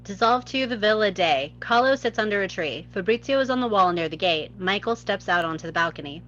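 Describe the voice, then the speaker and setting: A woman's voice: mid-range voice, animated, brisk, a bit bright, a little hiss or hum. A woman reading stage directions as scripted narration at a live table read. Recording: dull, slightly muffled close audio.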